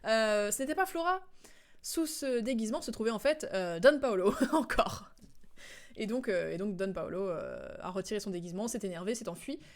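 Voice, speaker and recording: A woman with a medium-pitched voice comments on a game for her stream. She is chatty and informal, piecing the story together as she goes and often halting to remember. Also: expressive.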